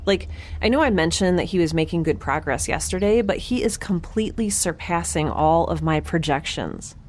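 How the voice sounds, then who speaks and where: Actress as young space scientist lady, kinda low voice, wry and rambly, faint noise behind. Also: lively intonation, normal speed, natural voice. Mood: musing. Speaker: narrator and lead character. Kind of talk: audio drama log, conversational.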